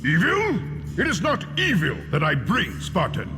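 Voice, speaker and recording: High, swooping, pompous Persian king. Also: huge pitch swings, moderate pace. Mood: haughty, mocking. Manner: theatrical, grandiose. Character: pompous, grandiose Persian king, haughty invading conqueror. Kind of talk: dramatic video game acting. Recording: loud orchestral music behind.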